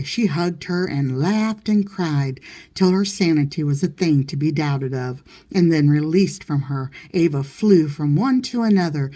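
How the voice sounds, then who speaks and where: A woman's voice: sits low, mellow. A woman reading literary narration aloud as an audiobook reader.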